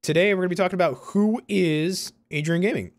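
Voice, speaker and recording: Young Californian man, slightly higher voice. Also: brisk. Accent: Californian American. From Concord, California, USA. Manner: rambling, informal, self-correcting, frequent "you know" and "like". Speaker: YouTuber and university student. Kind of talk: casual personal vlog talk to viewers.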